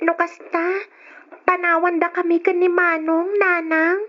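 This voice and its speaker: Radio drama role: pleading Ilocano teenage girl, thin piercing high voice, muffled lo-fi sound. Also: flat delivery, a little slow. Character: distressed teenage daughter pleading with her mother. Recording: dull lo-fi radio drama recording.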